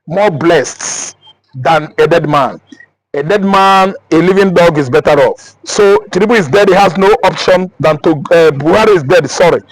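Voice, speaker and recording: A man's voice: sits high, poor audio. A man from Nigeria with a Nigerian accent, speaking in an emphatic, repetitive, declaiming way as an interviewee. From Anambra State, Nigeria. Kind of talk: heated street interview talk.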